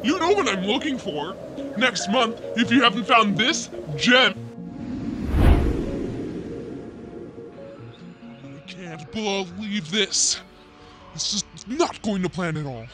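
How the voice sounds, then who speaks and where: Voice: medium pitch, very animated, a little slow, over music. An actor playing a corrupt, scornful police commander who barks orders in a sci-fi audio drama. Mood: cold menace. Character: corrupt, imperious police commander, furious and contemptuous.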